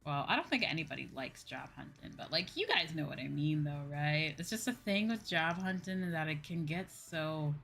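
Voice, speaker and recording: A woman's voice: low voice, lively intonation, moderate pace, gravelly, hardly any pauses, fairly bright tone. A young woman, a livestream host chatting casually in an informal, rambling way and repeating phrases for emphasis. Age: young adult. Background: background music.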